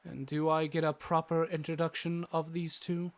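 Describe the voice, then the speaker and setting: A man's voice: fairly high pitch, level tone, normal speed, natural voice. A man playing an earnest, learned doctor and adventurer with a teasing streak in a fantasy audio drama, speaking articulately like a storyteller. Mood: wry, friendly.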